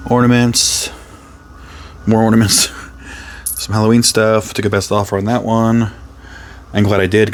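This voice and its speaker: A man with a hoarse, raspy voice, casually chatting through a reseller vlog, rambling with lots of ums and self-corrections. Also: lowish voice, darkish tone. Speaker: reseller vlogger. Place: his resale booth and home workspace.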